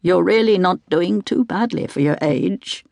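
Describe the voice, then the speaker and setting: Woman playing older male neurologist, audiobook character, mild, soft-spoken, clinical, unhurried, creaky, dry recording. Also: on the low side, no echo.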